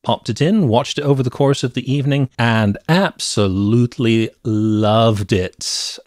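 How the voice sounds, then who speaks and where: A man's voice: on the low side, no echo. Middle-aged man, dry close podcast sound. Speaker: podcast co-host. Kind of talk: casual movie review chat. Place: cluttered home room with shelves. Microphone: close podcast mic with headset.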